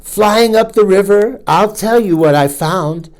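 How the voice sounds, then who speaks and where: A man's voice: high voice, unhurried, a little mellow, voice pushed up, rough recording. A man voicing a small, cheerful male kingfisher bird who speaks in sing-song rhymes.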